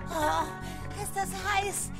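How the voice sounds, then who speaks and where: A woman's voice: very high-pitched, steady tone, extremely slow, gravelly, music in the background, full character voice. A woman in a German radio drama playing a desperate, determined young child who survived a bombing. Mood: frightened, pleading.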